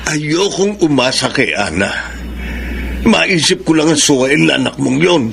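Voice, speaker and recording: An actor playing a stubborn, ailing older father who speaks gruffly and with resentment, in classic radio drama style. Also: fairly high pitch, expressive, unhurried, heavy background noise. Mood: resentful.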